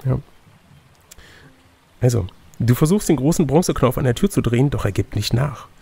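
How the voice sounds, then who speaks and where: Gravelly, husky man reading an adventure story. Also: medium pitch, animated, normal speed, dark tone. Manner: playful, chatty asides between read passages. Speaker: game master reading a gamebook aloud. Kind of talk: storybook narration mixed with casual banter.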